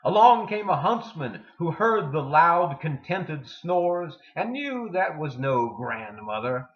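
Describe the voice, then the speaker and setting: A man giving a dramatic poetry reading with deliberate, theatrical delivery and emphatic pauses. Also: a little high, big pitch swings. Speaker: poetry reader. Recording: dull lo-fi sound in echoey room.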